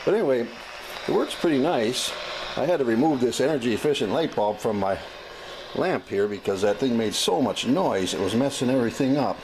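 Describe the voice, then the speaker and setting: An American man giving a casual, unscripted demonstration as a hobbyist, talking in a folksy, plain-spoken, halting way. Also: medium pitch, lively intonation. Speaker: hobbyist demonstrating a vintage gadget. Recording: clearly noisy amateur recording.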